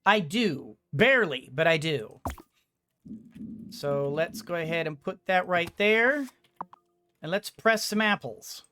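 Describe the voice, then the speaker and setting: A game streamer with a mid-range voice and a very slow pace. The commentary is casual and rambling, with choices mulled over aloud. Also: medium pitch, lively intonation, sharp tone, slight background noise. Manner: thinking aloud, hesitant, rambling.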